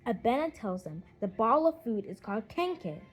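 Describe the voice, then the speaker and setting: Woman, children's audiobook narrator, highish voice, lively melody, animated storytelling. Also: normal speed, faint music behind.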